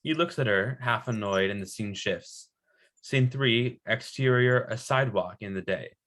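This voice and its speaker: A man's voice: medium pitch, moderate pace, a bit bright. A man narrating script directions at a table read. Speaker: stage directions reader.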